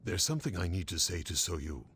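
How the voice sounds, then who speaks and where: An actor playing a solemn, protective older former guard captain in a video game, speaking earnestly and gravely. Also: male voice, low-pitched, big pitch swings, brisk, a bit bright, a little hiss or hum. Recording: music under the voice.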